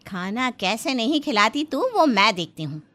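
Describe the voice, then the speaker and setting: A woman voicing a bossy, sharp-tongued older housemaid who scolds and gives orders like a mother-in-law in a story. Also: a little high, sing-song, moderate pace. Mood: angry, threatening. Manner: commanding, scolding.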